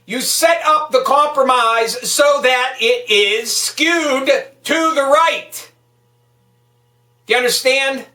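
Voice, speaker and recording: A woman's voice: sits high, takes it slowly, sharp tone. A woman delivering an angry political rant, emphatic, hectoring and full of swearing. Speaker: political vlogger. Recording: echoey room, distant microphone.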